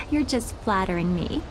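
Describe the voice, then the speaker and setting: An actress playing a sweet, perky young technology specialist in a video game, polite and playful. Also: female voice, medium pitch, noisy background. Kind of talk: dramatic video game voice acting.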